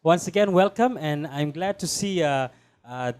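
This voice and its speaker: A man's voice: fairly high pitch, expressive, moderate pace, darkish tone, clear, resonant tone. A man hosting a studio panel talk show, welcoming guests and introducing the program with many hesitant fillers.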